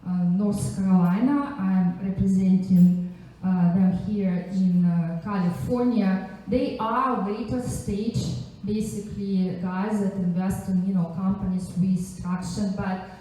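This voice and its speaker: A woman from Russia with a Russian accent introduces herself as a panelist at a live event, chatty and halting, with frequent asides. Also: mid-range voice, fairly even intonation, mellow. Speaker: panelist, angel investor and economist. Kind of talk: informal self-introduction to a live audience. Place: echoey indoor event venue. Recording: very echoey room, distant microphone.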